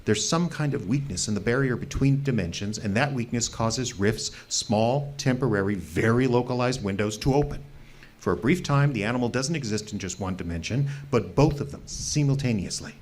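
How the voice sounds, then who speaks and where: Man playing obsessed wilderness researcher, earnest, flustered, stammering, swooping mid-range pitch, audio drama. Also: animated, normal speed, darkish tone, close to an everyday voice. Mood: explaining intently. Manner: stammering, insistent, pleading. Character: fanatical fringe researcher, earnest, flustered man living wild in the woods.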